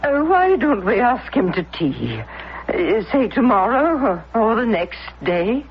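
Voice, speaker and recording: Slow, haughty elderly dowager. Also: female voice, a little high, big pitch swings, heavily acted. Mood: hesitant. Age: older. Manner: haughty, formal phrasing. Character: formidable, proper, elderly upper-class mother, opinionated dowager. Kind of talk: period radio drama acting. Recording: muffled, noisy old radio recording.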